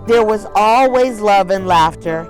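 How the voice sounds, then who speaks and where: Woman reading a children's story over soft music. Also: medium pitch, low-quality recording. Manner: measured, deliberate phrasing with dramatic pauses. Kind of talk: expressive picture-book read-aloud for children.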